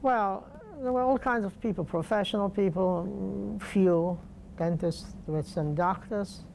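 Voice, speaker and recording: An older man from Poland, speaking slowly and deliberately with pauses in an oral history interview. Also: high voice, creaky, darkish tone, slight background noise, rough recording. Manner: slow, deliberate, halting with pauses.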